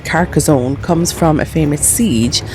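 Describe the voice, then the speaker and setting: A woman's voice: low-pitched, unhurried. A woman giving a casual, chatty travel voice-over, explaining things as she goes. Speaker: travel vlogger. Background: background music with guitar.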